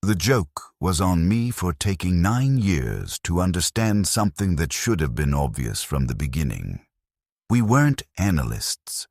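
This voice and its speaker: Deep, husky male narrator, grave and measured. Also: big pitch swings, darkish tone, dry recording, studio quality. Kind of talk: scripted first-person story narration.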